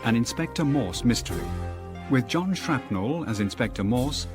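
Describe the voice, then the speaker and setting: Male announcer, medium pitch, formal scripted radio titles, prominent music bed. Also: expressive, moderate pace.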